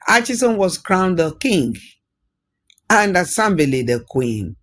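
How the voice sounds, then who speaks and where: A man narrating a folktale as a storyteller, dramatic, repeating words for emphasis. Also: a little high.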